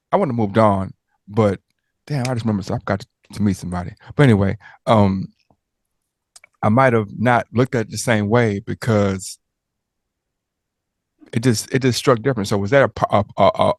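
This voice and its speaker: Rambling, joking man host with a low voice. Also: no echo, clean studio sound. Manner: rambling, joking, prone to tangents and stammers. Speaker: interviewer and host. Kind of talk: casual interview chat.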